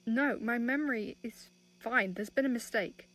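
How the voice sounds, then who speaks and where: A woman's voice: a little high, moderate pace, fairly bright tone, lightly acted. A woman playing a nervous, defensive young underground performer in a dystopian audio drama. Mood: frightened protest. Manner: hesitant, protesting.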